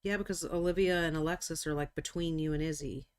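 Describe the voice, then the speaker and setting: A woman in her forties chatting casually while painting miniatures on a live stream, talkative, joking about herself and quick to apologize. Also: deep voice, fairly even intonation, clear, resonant tone. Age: 40s.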